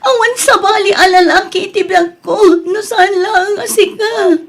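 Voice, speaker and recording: A woman's voice: very high voice, moderate pace, vocal fry, a bit bright, full character voice. A woman with an Ilocano Filipino accent playing a long-suffering, submissive young wife in an audio drama. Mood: sobbing, pleading.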